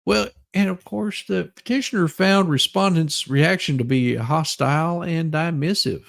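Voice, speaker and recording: A man giving a mock dramatic reading with crude comic asides, in a halting, folksy, stammering delivery. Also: fairly high pitch, gravelly, fairly bright tone. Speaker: livestream host.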